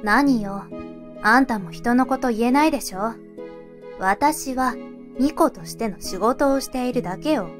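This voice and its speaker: A woman's voice: on the high side, measured pace, over music, natural voice. A woman playing a grumbling young shrine maiden in an anime-style fan voice drama, with dry, exasperated retorts.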